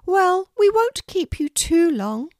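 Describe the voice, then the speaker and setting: Elderly queen voiced by a woman, gracious, kindly, genteel, high voice, slow and lively. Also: dry recording, studio quality, full character voice. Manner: gentle, genteel and reassuring.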